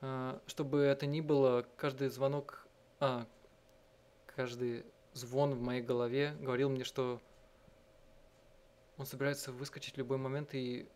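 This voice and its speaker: A man doing let's-play narration, reading and translating text aloud in a halting, self-correcting way. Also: medium pitch, level tone, darkish tone, clear, resonant tone. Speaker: game streamer and live translator. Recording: game music under the voice. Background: game music.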